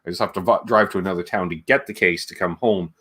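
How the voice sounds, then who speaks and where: A man's voice: low voice, fast, a bit bright, husky. A man hosting a livestream in a casual, chatty way, wandering between asides and viewer comments. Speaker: livestream show host.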